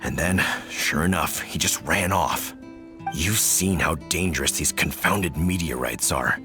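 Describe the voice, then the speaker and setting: Game villager, deep-voiced, flustered and scolding, music behind. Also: male voice, a little slow. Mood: worried. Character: worried village man, stern toward a runaway boy.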